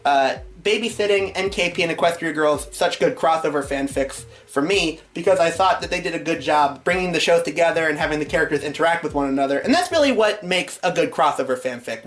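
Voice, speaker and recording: A man's voice: on the high side, fast, hardly any pauses, fairly bright tone. A man reading fan fiction aloud and commenting on it as the show's host.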